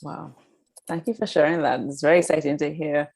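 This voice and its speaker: A woman's voice: low voice, fairly even intonation, a little fast, creaky, a little mellow, slightly rough. A woman moderating a panel discussion, polite and attentive, thanking guests and asking questions.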